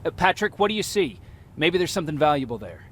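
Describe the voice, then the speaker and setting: A man playing a dutiful resistance soldier in a video game, speaking in a measured, earnest way. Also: a little high, very animated, a little hiss or hum. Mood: calm, dutiful. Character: calm, dutiful young male resistance soldier. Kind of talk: video game dramatic acting.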